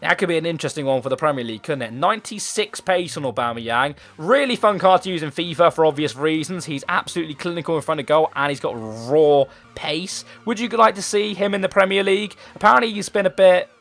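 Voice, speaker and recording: A man's voice: fairly high pitch, fast talker, hardly any pauses, a bit bright. A young man with a sore throat, chatting casually about football players for his gaming channel. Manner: chatty, rambling, enthusiastic. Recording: faint background music.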